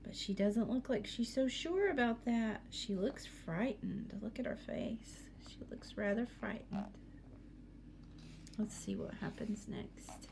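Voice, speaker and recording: Woman, higher-pitched voice, unhurried expressive storybook read-aloud, teacher addressing children, faint room noise. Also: creaky. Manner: expressive, with questions to young listeners. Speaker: teacher reading to students. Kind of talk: picture book read-aloud with asides to children.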